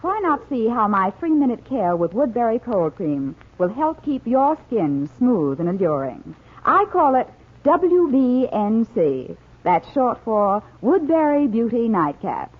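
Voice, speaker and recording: Tinny 40s radio ad lady, highish, lilting up and down, coaxing scripted testimonial. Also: sing-song, unhurried. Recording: tinny, narrow 1940s radio recording.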